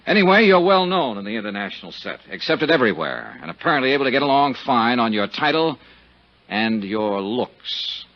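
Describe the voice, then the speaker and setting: An actor playing a tough, wisecracking investigator in 1950s radio detective drama, blunt and sardonic, with a husky voice. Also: male voice, mid-range voice, big pitch swings, a little fast, lightly acted. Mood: pressing, sardonic. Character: tough, brash, wisecracking American male investigator. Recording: dull 1950s radio broadcast recording.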